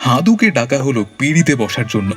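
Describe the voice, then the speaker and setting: A man serves as storyteller for a comic audio drama. His voice sits at an average pitch, delivering scripted narration, with music underneath. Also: sing-song, quick pace.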